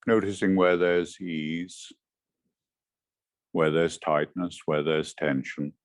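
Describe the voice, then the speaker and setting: A man with a low voice guides a meditation in short, measured phrases. He speaks very slowly and gently, leaving space between instructions. The sound is dry, with little room in it. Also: fairly even intonation, no echo. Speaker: meditation teacher.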